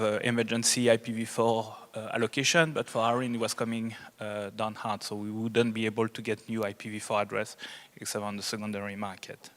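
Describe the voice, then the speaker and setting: A man's voice: mid-range voice, fairly bright tone. A man with a strong French accent giving an unscripted technical presentation as a conference speaker. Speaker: conference presenter, network engineer. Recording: reverberant hall.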